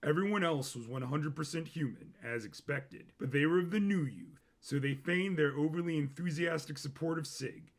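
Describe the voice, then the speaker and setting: A man's voice: on the high side, normal speed, hardly any pauses. A man reading a scripted story as a dramatic narrator.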